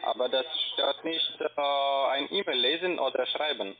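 Male call-centre seller, non-native, foreign accent, halting delivery, medium pitch, poor telephone line. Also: faint music behind. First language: not German. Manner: halting, hesitant. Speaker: call-centre sales agent. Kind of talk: phone sales pitch. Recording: muffled phone line, busy call-centre chatter.

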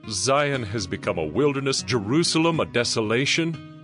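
A man's voice: medium pitch, sing-song, normal speed, fairly bright tone. An actor playing a solemn man pleading with God in a dramatized Bible reading. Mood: solemn lament. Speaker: scripture narrator. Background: music.

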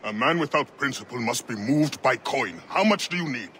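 An actor playing a proud, stern assassin leader in a video game, speaking in a measured, formal and sardonic way. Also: male voice, medium pitch, lively intonation, slight background noise. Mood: earnest, commanding. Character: proud, stern freedom-fighter assassin leader, dry-humoured. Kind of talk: dramatic video game acting. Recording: loud music behind.

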